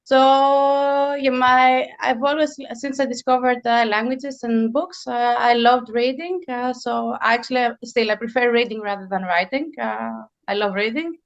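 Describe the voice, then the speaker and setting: A woman in her forties from Greece, a native Greek speaker, chatting as a guest author in an interview and pausing often to think. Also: on the high side, creaky, lo-fi sound. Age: 40s. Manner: thoughtful, frequent hesitations. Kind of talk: casual interview chat.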